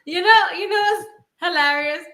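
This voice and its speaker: A woman's voice: very high-pitched, normal speed, bright, forceful tone, echoey room. A woman from the Bronx with a New York and Spanish accent, chatting playfully as a podcast co-host and laughing often. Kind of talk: casual chat.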